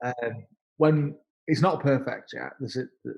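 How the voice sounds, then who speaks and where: Halting man in his thirties. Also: mid-range voice, vocal fry, darkish tone, rough recording. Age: 30s. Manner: halting, repeats words and restarts often. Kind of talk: casual interview conversation.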